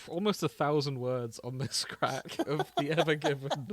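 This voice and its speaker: A man's voice: a little high, animated, takes it slowly. A man co-hosting a podcast in casual comedic chat, joking and giggly. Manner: jokey, giggly. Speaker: podcast co-host.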